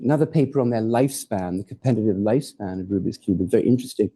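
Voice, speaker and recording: A man lectures to a hall audience in a very dark, mellow voice. His delivery is loose and conversational, full of asides, jokes and the occasional curse. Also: lowish voice, rapid-fire, slightly rough. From born in Hawaii, raised in Portugal and England. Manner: informal, digressive, jokey, swears for effect. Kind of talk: academic talk with slides.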